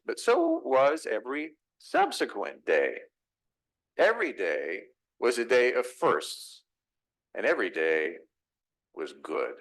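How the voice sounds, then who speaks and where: A man's voice: medium pitch, vocal fry, fairly bright tone, poor audio. A middle-aged man from Texas with a Texas accent, preaching a reflective, conversational sermon in a church, asking his listeners questions. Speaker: pastor. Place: church sanctuary.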